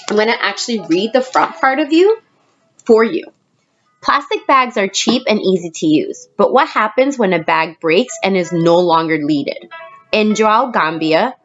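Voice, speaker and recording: A woman with a medium-pitched voice reads aloud as a schoolteacher would to young children. She pauses to explain and puts questions straight to her listeners. The sound is a little muffled, with soft music underneath. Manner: addresses children directly, explaining words and asking questions. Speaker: elementary school teacher reading aloud to students.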